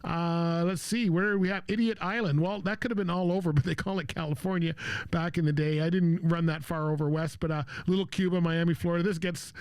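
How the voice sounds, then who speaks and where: A man's voice: fairly high pitch, fairly even intonation, a little fast, darkish tone, clear, resonant tone, no room sound. An older man hosting a radio show in a casual, rambling way, with many fillers and frequent chuckles. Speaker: radio host.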